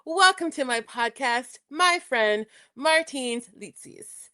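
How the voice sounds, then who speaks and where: Higher-voiced woman hosting a podcast. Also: animated, slow pace, pushed and bright. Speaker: podcast host. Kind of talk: casual interview chat.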